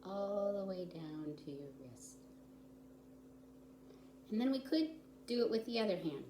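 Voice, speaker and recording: A woman's voice: mid-range voice, sing-song, unhurried. A woman volunteer reading to young children, explaining things simply, with chatty asides and questions to the listeners. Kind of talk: children's story time, read-aloud with chatty asides. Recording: roomy echo with audible background noise.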